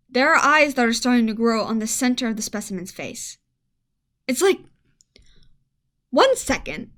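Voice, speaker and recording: High-voiced worried woman scientist, played by an actress. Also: a bit bright. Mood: puzzled, uneasy. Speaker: actor in a radio play. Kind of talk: amateur radio play acting.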